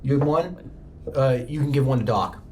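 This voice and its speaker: A man's voice: mid-range voice, expressive, normal speed, warm tone, a little hiss or hum. A man narrating a tabletop roleplaying game as game master, improvising as he goes.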